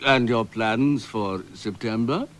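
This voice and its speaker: A man's voice: mid-range voice, slow pace, a bit bright. A man playing a courteous, earnest interviewer in a spoof radio interview, polite and formal. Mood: polite, serious.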